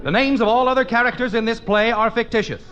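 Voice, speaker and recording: A man's voice: extremely high pitch, steady tone, fast, lo-fi sound. A man announcing an old radio comedy show in a formal, mock-grand manner. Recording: very noisy old radio broadcast.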